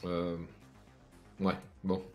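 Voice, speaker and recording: A man's voice: sits low, monotone, extremely slow, soft background music. A man playing a tabletop role-playing game, talking hesitantly and sounding unsure. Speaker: player. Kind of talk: casual role-play talk.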